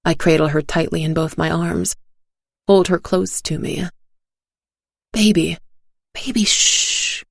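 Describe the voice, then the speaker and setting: A woman narrating a dark scripted tale as a storyteller, in a deliberate, suspenseful way. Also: low-pitched, little pitch movement, vocal fry, no echo, studio quality.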